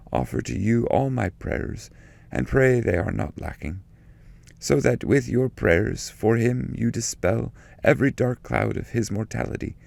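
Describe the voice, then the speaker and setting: A reverent holy man prays in an audiobook of epic poetry, acted by a male reader. His voice is lifted well above the reader's usual register, with a creaky edge. He declaims solemnly, pleading in measured lines. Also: fairly low pitch, a little mellow, dry recording, voice pushed up. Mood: earnest pleading. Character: reverent saintly holy man praying to the Virgin. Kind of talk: dramatic poetry reading, audiobook.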